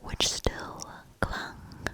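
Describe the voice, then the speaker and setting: Slow, whispering woman reading a fairy tale. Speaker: ASMR reader, storyteller.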